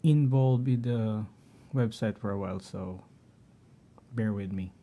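A middle-aged man with a Filipino accent, talking through his home network setup in a halting way, often clearing his throat. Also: on the low side, muffled recording. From Philippines. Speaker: tech vlogger. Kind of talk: casual screen-share walkthrough.

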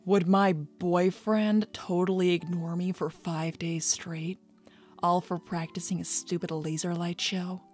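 A woman's voice: on the low side, flat delivery, unhurried, darkish tone, soft background music. A woman playing a flirty, sassy, coy adult bat thief in a fan-made cartoon. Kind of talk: fan-made animated series voice acting.

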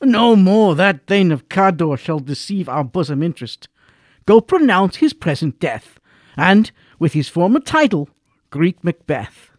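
A man's voice: sits high, sing-song, a little slow, no room sound, clean studio sound, exaggerated voice. An actor playing a noble, commanding king in a Shakespearean radio drama, declaiming regally. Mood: exultant, regal. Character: noble, commanding king of a senator-turned-ruler.

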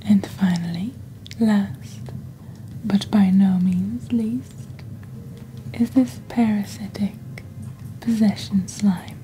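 Woman playing a slow, whispering witch saleswoman. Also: medium pitch, lightly acted, rough recording. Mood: calm, mysterious. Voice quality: soft, whispery, breathy. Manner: slow, deliberate, measured sales patter. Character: witch shop host peddling dark potions and poisons. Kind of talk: soft-spoken ASMR shopping-channel presentation.

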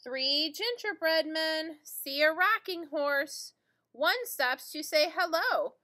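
Female primary teacher, middle-aged, high-pitched and slow, singsong picture-book read-aloud for little kids. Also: lively intonation, pushed and bright. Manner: singsong, deliberate, addressing young children. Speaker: teacher reading to children. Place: indoor school classroom.

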